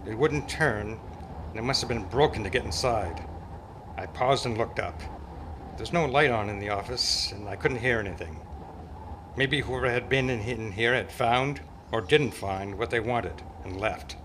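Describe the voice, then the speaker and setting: A man's voice: lowish voice, normal speed, lightly acted. A man playing a tough, gallant private eye in an old-time radio drama, with a wry, world-weary, slangy delivery. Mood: narrating. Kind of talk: hardboiled radio detective narration and dialogue. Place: club meeting, live performance before microphone. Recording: noisy amateur live stage recording.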